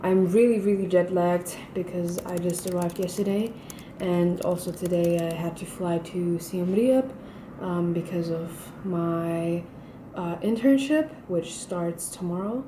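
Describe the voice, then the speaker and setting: A woman's voice: on the low side, unhurried, background noise. A young woman from Germany talking casually to her camera in a video diary, rambling with fillers and sounding jet lagged. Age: young adult. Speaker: vlogger.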